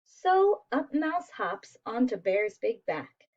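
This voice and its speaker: Female picture-book reader, unhurried and expressive, with a high voice, on a slightly lo-fi recording. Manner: expressive, asks listeners questions. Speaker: storyteller. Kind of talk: animated children's picture book read-aloud.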